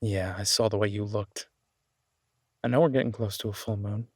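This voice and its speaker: Easygoing chef, husky and close-miked, played by a man. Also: fairly low pitch, steady tone, moderate pace, a little mellow, dry recording. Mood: relaxed, affectionate. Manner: casual, folksy, reassuring. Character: easygoing down-home male chef, affectionate restaurant owner. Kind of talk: audio roleplay acting.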